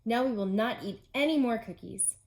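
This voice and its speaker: A woman's voice: on the high side, normal speed, a little mellow, close to an everyday voice. A woman voicing a sensible male frog in a children's storybook, friendly, firm and instructive. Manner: matter-of-fact, instructive.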